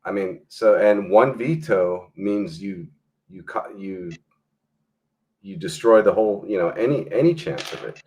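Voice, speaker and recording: Male guest, low voice, blunt and emphatic, swears freely. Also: a little slow, a little mellow. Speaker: guest historian and filmmaker. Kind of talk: casual opinionated discussion.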